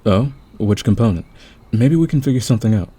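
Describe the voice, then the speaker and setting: Guy acting as chill friendly thief in an audio rp, low voice, soft dark tone, curious and encouraging. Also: expressive, a little fast, breathy edge. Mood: easygoing, concerned. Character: friendly, easygoing male thief, curious about teammates.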